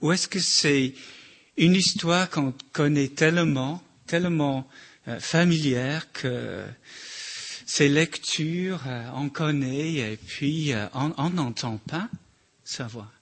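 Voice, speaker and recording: Slow, halting man with a slight accent. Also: a little high, fairly bright tone. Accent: slight foreign accent in French. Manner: slow, halting, many restarts. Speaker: church member sharing a reflection. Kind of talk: informal sermon reflection.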